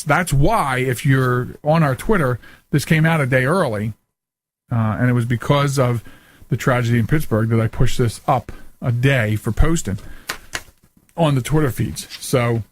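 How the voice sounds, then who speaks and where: An American man hosting a podcast in casual, unscripted talk, earnest and emphatic, often repeating himself as he goes. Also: medium pitch. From United States. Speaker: talk show host.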